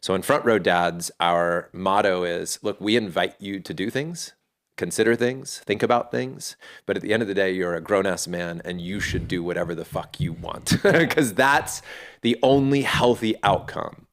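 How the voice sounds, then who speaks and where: Middle-aged male guest, very low voice, earnest, thinks aloud with restarts. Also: normal speed. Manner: earnest and reflective, with frequent restarts. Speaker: podcast guest, fatherhood community founder. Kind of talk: casual interview talk.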